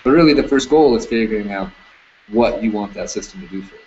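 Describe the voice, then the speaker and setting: A man in his thirties, a security camera business owner, talks casually in an interview over a video call, relaxed, with many fillers. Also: fairly low pitch, fast. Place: indoor room with curtains. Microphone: webcam on video call. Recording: dull lo-fi video call audio, faint noise.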